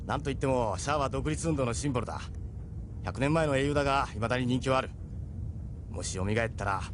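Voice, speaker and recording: A man's voice: medium pitch, fairly quick, noisy background, natural voice. A man voicing a seasoned, middle-aged ship captain in an audio drama, persuasive, frank and worldly. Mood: wry, explanatory. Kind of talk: Japanese audio drama acting.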